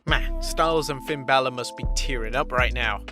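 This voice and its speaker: A man's voice: mid-range voice, moderate pace. A man narrating scripted wrestling news with casual asides. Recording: voice-over with loud background music.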